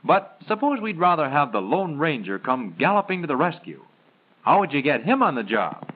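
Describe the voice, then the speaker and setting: A man delivers playful, theatrical 1930s film patter in a fairly high voice that moves up and down a lot. The recording is very tinny and narrow, like an old soundtrack. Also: sing-song, moderate pace, slight background noise.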